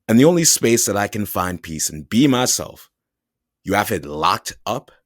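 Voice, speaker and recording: Actor doing a resentful young adult son, low voice, sullen, sarcastic, profane, dry close mic. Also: male voice, very animated, normal speed, dry recording. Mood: angry. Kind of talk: audio drama acting.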